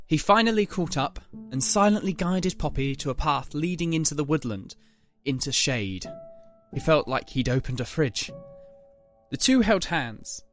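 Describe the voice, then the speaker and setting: A man reading scripted, dramatic story narration as the narrator. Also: fairly high pitch.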